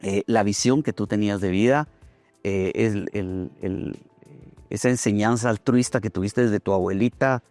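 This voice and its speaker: Low-voiced man hosting a reflective interview. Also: normal speed, a little mellow, no echo, faint music behind. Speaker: podcast host interviewing a guest.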